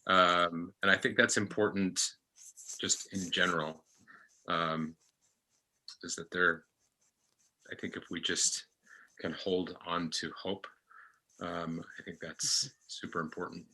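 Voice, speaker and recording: A man speaking haltingly and reassuringly as a panelist in a reflective podcast discussion. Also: deep voice, steady tone, low-quality recording. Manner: halting, gentle wording, reassuring.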